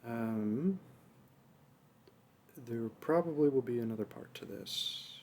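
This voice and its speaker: A man talking casually to his viewers as an audio creator, quiet, hesitant and rambling. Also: mid-range voice, takes it slowly, a little hiss or hum. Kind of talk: casual chat addressed to viewers.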